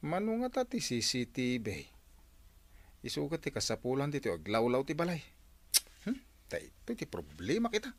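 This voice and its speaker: A man's voice: mid-range voice, huge pitch swings, moderate pace, pauses often, dry recording. An actor with an Ilocano Filipino accent playing a thrifty, insistent husband who frets over his possessions in a comedy drama. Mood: worried. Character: thrifty fretful husband, protective of his new car.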